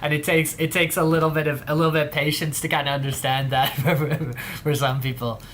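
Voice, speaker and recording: A man's voice: fairly high pitch, flat delivery, brisk, a bit bright, a little hiss or hum. A man with an English accent hosting a livestream, chatting casually in a rambling, slangy way. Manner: rambling, heavy on slang like dude and bro. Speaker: livestream host.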